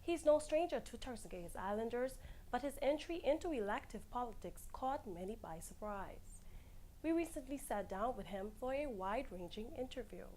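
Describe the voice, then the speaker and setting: A woman's voice: fairly high pitch, animated, normal speed, dark tone, low-quality recording. Lady hosting a tv interview, formal scripted delivery, bit of background hum. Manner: formal, clear presenter delivery. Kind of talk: scripted show introduction and questions.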